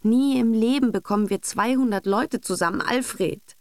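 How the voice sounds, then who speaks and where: A woman's voice: a little high, moderate pace, no echo, clean studio sound, pitched above the performer's own voice, natural voice. A woman voicing an anxious, socially ambitious middle-aged wife who murmurs, sighs and frets, in a literary audiobook reading. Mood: fretful. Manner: murmuring, sighing, fretful. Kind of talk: literary audiobook character acting.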